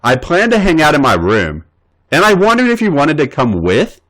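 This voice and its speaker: A man's voice: fairly high pitch, wildly swooping pitch, moderate pace, fairly bright tone, voice pushed up, heavily acted. Man reading as an eager, earnest young guy, voice swinging up when he gets keen. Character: affectionate, eager young male wrestler.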